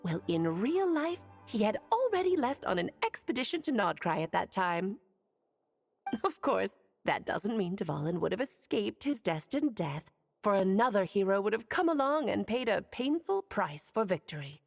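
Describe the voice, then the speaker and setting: A woman voicing an ageless, cheerful and wise witch who speaks in a lilting, gently teasing way. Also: medium pitch, very animated, normal speed, creaky, soft background music. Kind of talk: video game voice acting.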